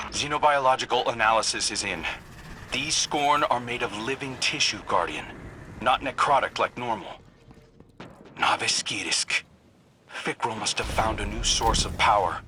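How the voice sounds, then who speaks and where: A man voicing a calm male mission commander giving brisk orders in a video game. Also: on the low side, slight background noise. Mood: puzzled. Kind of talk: video game radio briefing. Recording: music behind.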